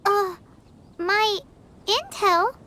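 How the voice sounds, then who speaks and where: A woman voicing a tiny, eager, childlike fairy companion in a video game, squeaky and exclamatory. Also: animated, very slow, full character voice. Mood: puzzled, determined. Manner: exclamatory, speaks of herself in third person. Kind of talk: animated video game voice acting. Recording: clean voice over loud game music.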